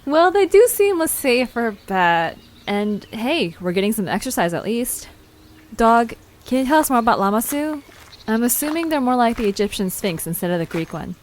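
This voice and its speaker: A woman's voice: on the high side, very animated, moderate pace, a little hiss or hum. A woman playing an eager, inquisitive young adventurer in an audio drama. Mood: hopeful. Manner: eager, questioning. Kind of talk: scripted audio drama acting.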